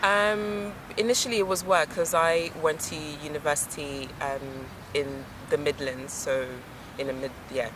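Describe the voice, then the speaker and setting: A woman's voice: sits low, fairly even intonation, measured pace, hardly any pauses. A young woman from Ghana, a drama student, chatting casually in a street interview, laughing often and trailing off. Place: outdoors on a busy city street. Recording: street traffic noise behind.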